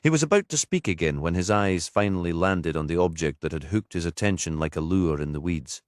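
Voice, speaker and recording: A man's voice: sits low, expressive, brisk, dry recording, clean studio sound. A man reading scripted narration for a crime mystery audiobook.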